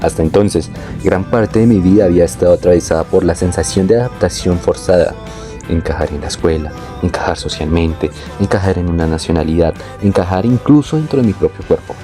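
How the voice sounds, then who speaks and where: A young man reading a scripted, reflective personal narration in a measured, literary way. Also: low voice, mellow, faint music behind. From born in Venezuela, moved to Colombia. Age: young adult. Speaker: narrator of his own life story.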